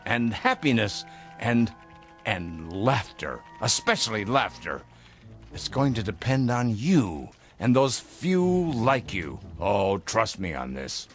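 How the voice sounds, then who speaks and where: Eccentric older male mentor, radio drama: lowish voice, hugely swooping delivery, avuncular and florid. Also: sing-song, slow, soft background music. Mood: grave, impassioned. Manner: grandiloquent, avuncular, emphatic. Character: eccentric older gentleman, secretive rebel mentor, fond of laughter. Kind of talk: theatrical audio drama acting.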